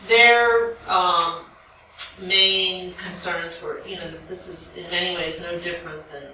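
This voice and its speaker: An American woman in the audience asking a long, spontaneous question in a halting, deliberate way. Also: fairly low pitch, fairly even intonation. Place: echoey lecture room. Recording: very echoey room, distant mic, faint noise.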